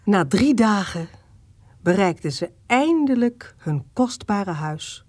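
A woman narrating a historical radio drama as an expressive storyteller, with dramatic, deliberate emphasis. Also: mid-range voice, no room sound.

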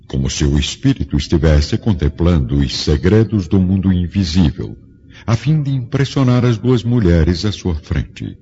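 A man's voice: low-pitched, dark tone, breathy edge. A man narrating a scripted radio drama in a solemn, grave and formal style. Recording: dull lo-fi studio drama, music behind.

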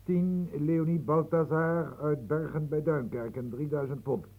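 Dry bookkeeper type, husky, kinda dark voice, reads lists carefully. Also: male voice, on the high side, measured pace, low-quality recording. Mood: matter-of-fact. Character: dry, precise male secretary reading accounts. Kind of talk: radio play acting.

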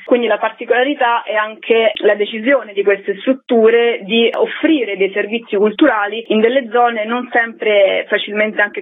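Woman on radio explaining stuff neatly, medium pitch, tinny phone-like audio. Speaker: interviewed guest, cultural association representative. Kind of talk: informative radio interview answers. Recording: thin phone-like band-limited sound.

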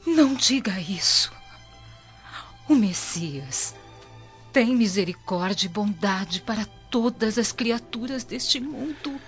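A woman playing a gentle, pious monastic healer in a radio drama, consoling with tender, solemn, measured delivery. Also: a little high, expressive. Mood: gentle reassurance. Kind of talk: old radio drama acting. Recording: dull lo-fi radio drama with music.

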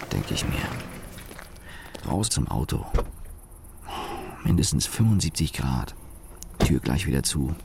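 Very deep, creaky, sardonic male office engineer. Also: darkish tone, slight background noise, full character voice. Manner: clipped fragmentary sentences, sardonic. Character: weary, cynical male office engineer, brooding inner monologue. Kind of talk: radio play first-person monologue.

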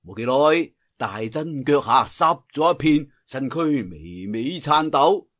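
Expressive Cantonese male storyteller, husky voice. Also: mid-range voice, slow pace. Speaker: audiobook storyteller. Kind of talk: dramatic Cantonese martial arts storytelling.

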